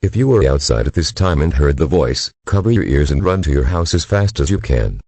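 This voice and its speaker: A man's voice: very deep voice, animated, a little fast, darkish tone, slightly rough, no echo. A man's synthetic-sounding voice reading a formal emergency alert in an impersonal, mechanical manner.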